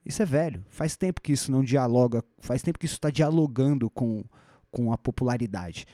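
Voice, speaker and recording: Mellow-voiced Brazilian man explaining history. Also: medium pitch, fairly quick, no room sound, clean studio sound. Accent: Brazilian Portuguese. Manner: thoughtful, restarts phrases, rhetorical asides. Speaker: podcast host.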